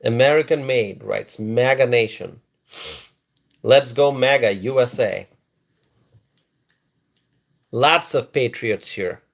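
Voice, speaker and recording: A man's voice: mid-range voice, slow, creaky, long pauses. A man from Bangladesh hosting a casual live stream, reading viewers' comments aloud and repeating phrases for emphasis.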